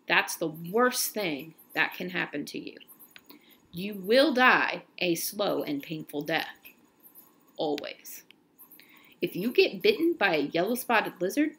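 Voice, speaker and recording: A woman reading a children's novel aloud in an expressive storytelling style, now and then stumbling and correcting herself. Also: lowish voice.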